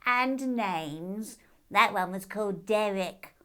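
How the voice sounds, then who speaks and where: A woman voicing a silly, stubborn male magical hare in a children's story, insisting on things with childish emphasis and drawn-out words. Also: medium pitch, sharp tone, clean tone, pitched above the performer's own voice. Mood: matter-of-fact, cocky.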